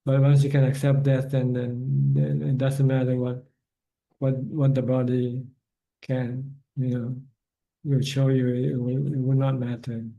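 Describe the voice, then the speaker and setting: A man's voice: medium pitch, level tone, a little slow, vocal fry, lo-fi sound. A man answering questions as a Buddhist teacher on a video call, speaking plainly and often repeating words as he finds his phrasing. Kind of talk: online dharma Q&A.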